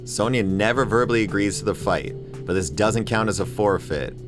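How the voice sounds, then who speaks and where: Male video review host, low register, wry and mock-exasperated film commentary over a clear music bed. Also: moderate pace. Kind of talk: comedic movie commentary, semi-scripted.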